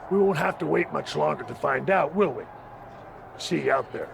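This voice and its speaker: A man's voice, slightly high and heavily creaky, as a measured wrestling rival in a video game. He is calm and guarded, negotiating without giving ground. Some ambient noise sits behind him. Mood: coolly confident. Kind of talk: video game cutscene acting.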